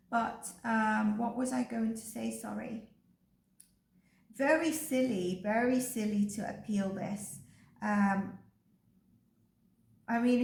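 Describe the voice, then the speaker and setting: A woman giving a casual psychic reading, rambling and filling her pauses with "um" and "like". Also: mid-range voice, creaky. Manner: rambling, with frequent ums and likes. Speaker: psychic energy reader. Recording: echoey room.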